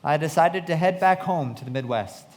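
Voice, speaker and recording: A man plays an earnest, disillusioned young narrator in a radio drama. His fairly high voice moves animatedly up and down and rings out in a reverberant hall. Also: animated, normal speed, natural voice. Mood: reflective.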